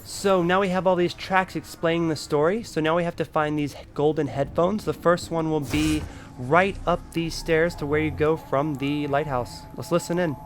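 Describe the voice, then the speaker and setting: A man's voice: on the high side, fairly even intonation, moderate pace, slight background noise. A man giving a casual game walkthrough, explaining each step informally as the host. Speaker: gaming video host. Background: game music.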